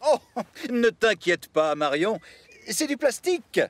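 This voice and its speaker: An actor playing a theatrical, enthusiastic gentleman in a children's cartoon, with a grandiose, exclamatory manner. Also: high-pitched, very animated, takes it slowly, background noise, heavily acted. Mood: excited, proud. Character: theatrical, enthusiastic gentleman opening dinosaur park.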